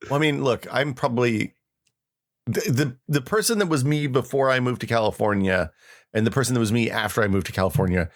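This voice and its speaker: A man's voice: medium pitch, brisk. A middle-aged American man co-hosting a podcast, chatting casually and reminiscing. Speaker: podcast co-host.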